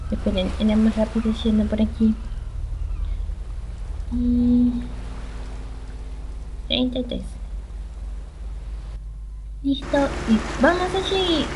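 A woman's voice: a little high, big pitch swings, slow pace, long pauses, a little mellow, a little hiss or hum, low-quality recording. A woman doing casual let's play commentary on a video game, hesitant and half talking to herself. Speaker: gaming channel host playing a video game. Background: game music.

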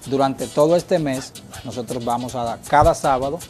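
A man's voice: mid-range voice, normal speed, a little mellow. A man co-hosting a studio talk show, explaining in an earnest manner. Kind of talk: casual studio chat. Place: TV studio set. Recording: TV broadcast with background music.

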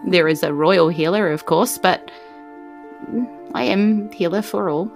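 A woman at a game table plays a gentle female healer who sells potions. Her voice sits fairly low and she speaks cautiously, in halting, measured phrases. Music plays faintly behind her. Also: creaky. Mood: grave.

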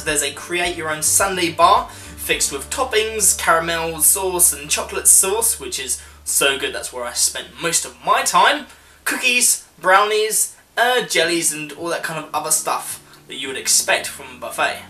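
A man's voice: fairly high pitch, expressive, a little slow, pushed and bright. A man giving a chatty, conversational voice-over review as a vlogger, working through his points informally. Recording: roomy echo, music underneath.

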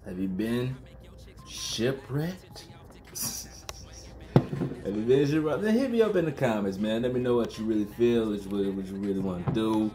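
A man's voice: on the low side, expressive, normal speed, background noise. Laid-back slangy man reviewing beer. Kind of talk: casual beer review to camera. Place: small room at home, indoors.

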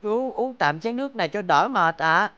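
A woman voicing a kind, naive and polite little village boy in an animated fairy tale. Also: medium pitch, moderate pace, clear, resonant tone, muffled recording, natural voice. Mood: earnest, wondering. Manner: naive, polite, thinking aloud to himself. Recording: background music.

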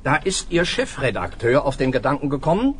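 An actor playing a courteous, formal police inspector in a children's audio play, explaining things earnestly. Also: male voice, medium pitch, very animated, brisk, background noise, lightly acted.

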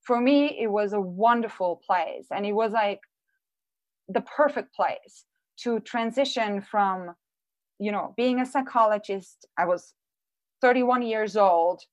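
A woman in her forties, born and raised in Austria, talks her audience through her work on a live video call. She speaks in a thoughtful, unhurried way, often pausing on an um or starting a phrase again. Her voice is mid-pitched and comes through an earphone headset in a dry, close room. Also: dry recording. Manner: thoughtful, with frequent ums and restarts. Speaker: artist giving a live virtual talk. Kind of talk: casual presentation. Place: small room at home.

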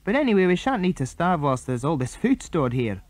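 A man's voice: fairly high pitch, very animated, fairly quick, no echo, pitched above the performer's own voice. A man with a British accent voicing a sensible, cautious older boy in a children's storybook, firm and matter-of-fact.

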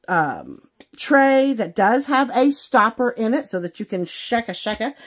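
A woman chatting casually to viewers as a craft vlogger, in a gushing, exclamatory, folksy way. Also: medium pitch. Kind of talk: casual unboxing chat to viewers. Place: indoors at a craft table.

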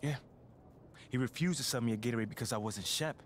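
A male actor plays a young ballplayer who talks quickly and defensively. His delivery is slangy and protesting, proud but slighted, as in a realistic game cutscene, with faint noise behind the voice. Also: mid-range voice, lightly acted. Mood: indignant, wounded. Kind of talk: naturalistic video game cutscene acting.

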